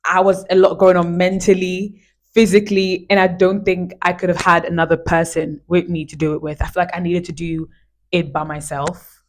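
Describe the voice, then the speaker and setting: A woman in her twenties from Israel, a podcast guest chatting casually with a friend, animated and quick to laugh. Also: fairly low pitch. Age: 20s. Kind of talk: casual chat.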